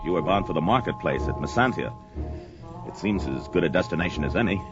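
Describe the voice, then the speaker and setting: An actor playing a fearless, gruff barbarian warrior with a commanding, taunting and grandly archaic way of talking, in a fantasy audio drama. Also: male voice, deep voice, animated, fast talker. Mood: wry, commanding. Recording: dull lo-fi audio drama, music behind.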